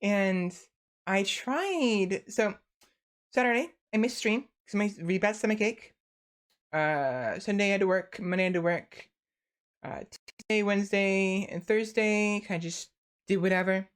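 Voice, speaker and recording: A woman's voice: mid-range voice, unhurried. A tired young woman streamer chatting casually and rambling to her viewers, with lots of restarts and fillers.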